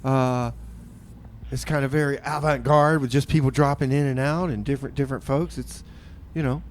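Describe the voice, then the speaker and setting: Laid-back community radio host. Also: male voice, medium pitch, fairly even intonation, normal speed, slight background noise. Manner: laid-back, calls listeners folks and man. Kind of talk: casual radio banter and announcements.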